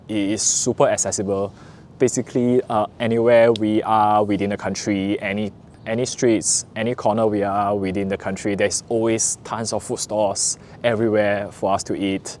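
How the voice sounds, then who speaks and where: A young man from Singapore with a Singaporean English accent, talking earnestly to camera in a relaxed vlog, with frequent "uh" fillers. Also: lowish voice, a little hiss or hum. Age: young adult. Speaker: vlogger, recent business school graduate. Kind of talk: casual talk to camera.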